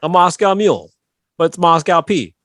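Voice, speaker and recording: A man's voice: on the high side, animated, moderate pace, a bit bright, no room sound, studio quality. A man co-hosting a comedy podcast, bantering playfully with teasing quips. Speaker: podcast co-host.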